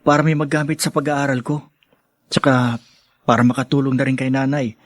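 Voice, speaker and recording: A man's voice: mid-range voice, flat delivery, a little fast, no echo, close to an everyday voice. An actor playing an earnest, hesitant teenage boy from a poor family in a Filipino radio drama, with a Filipino accent. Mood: earnest, weary. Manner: hesitant, respectful.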